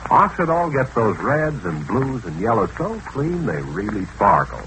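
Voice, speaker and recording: Man, old-time radio pitchman, animated swooping intonation, scripted sales delivery, noisy recording. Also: unhurried. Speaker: commercial announcer. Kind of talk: 1940s radio advertising pitch.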